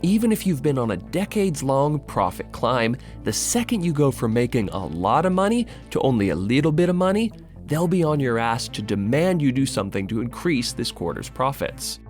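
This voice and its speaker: A man delivers scripted video essay narration as if chatting with the viewer. His intonation is lively and wide-ranging, with sardonic emphasis and casual asides. Soft music plays behind his mid-pitched voice. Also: normal speed.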